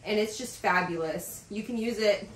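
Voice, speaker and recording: A woman's voice: medium pitch, normal speed, a bit bright, distant mic, a little hiss or hum. Bubbly woman vlogging in a roomy office. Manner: bubbly and gushing, lots of fillers. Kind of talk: casual vlog chat, unboxing packages. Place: home office, indoors. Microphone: camera across a desk. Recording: roomy sound from off the mic.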